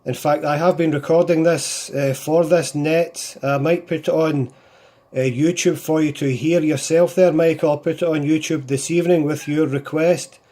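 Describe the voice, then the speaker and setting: A Scottish man speaks as a hobby radio operator in a casual contact. His voice sits a little high with a very mellow, soft-edged tone. He repeats phrases and leans on radio procedure words. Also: steady tone, moderate pace. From Scotland.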